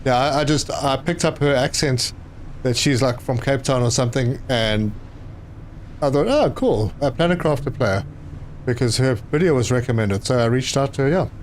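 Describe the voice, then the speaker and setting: Male streamer, mid pitch, casual and hesitant, faint game noise behind. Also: expressive, normal speed. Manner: chatty, reads chat aloud, hesitant with fillers. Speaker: gaming live streamer. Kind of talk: casual live stream commentary.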